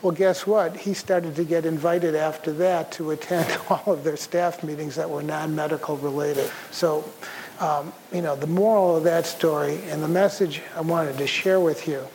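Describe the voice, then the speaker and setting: Soft-voiced older man giving a talk. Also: a little high, fairly even intonation, moderate pace, slight background noise, low-quality recording. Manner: conversational, many fillers and throat clearing. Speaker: speaker. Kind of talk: conference talk.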